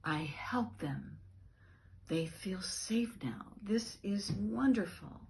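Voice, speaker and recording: A woman's voice: mid-range voice, animated, slow, gravelly, darkish tone, a little hiss or hum, pitched above the performer's own voice. A woman voicing an earnest, tender male family dog in a children's storybook read-aloud. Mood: tender, relieved.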